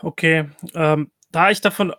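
A man talking casually as a player in a tabletop game, reasoning out loud as he goes. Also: a little high, fairly even intonation, unhurried, dry recording, clean studio sound. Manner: thinks aloud, explains reasoning.

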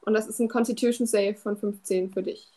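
A woman's voice: medium pitch, fairly even intonation, brisk, noisy background. A woman chatting casually as a player in a tabletop game, tentative and full of questions about her moves.

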